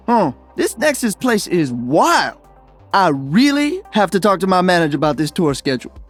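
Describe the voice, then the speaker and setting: A man's voice: high voice, very animated, moderate pace, soft background music. A man voicing an upbeat, playful young celebrity DJ hero in a video game, joking casually. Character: upbeat young male Brazilian celebrity DJ and freedom fighter.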